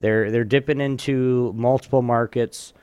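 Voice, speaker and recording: A man hosting a trivia game in a casual chat, often halting and restarting his phrases. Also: medium pitch, steady tone, a little mellow, clean tone, no room sound, studio quality. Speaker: podcast host running a trivia game.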